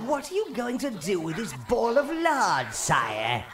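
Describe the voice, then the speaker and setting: A man's voice: high voice, wildly swooping pitch, measured pace, music in the background, full character voice. A man voicing a sly, fawning old minion advisor to an evil lord in a video game, theatrical and obsequious. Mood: wry.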